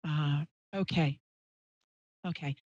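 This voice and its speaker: A woman's voice: deep voice, fairly even intonation, takes it slowly, darkish tone. A woman hosting a live call-in radio show in a chatty, scattered, self-deprecating way. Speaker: radio talk show host, psychic medium. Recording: dull, roomy live stream audio.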